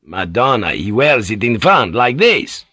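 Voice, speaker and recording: A man reading an audiobook, voicing a crude, lewd middle-aged ship's boatswain with a loud, blustering manner. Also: medium pitch, big pitch swings, measured pace, breathy edge, no room sound, clean studio sound, heavily acted. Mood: offhand. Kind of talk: audiobook character voice.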